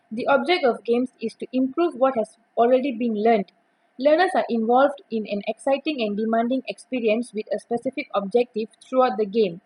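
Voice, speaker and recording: A young woman reads a prepared academic presentation aloud. Her voice sits a little high and has a husky, breathy edge. She keeps a steady reading pace and sometimes restarts a word. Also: poor audio. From Malaysia.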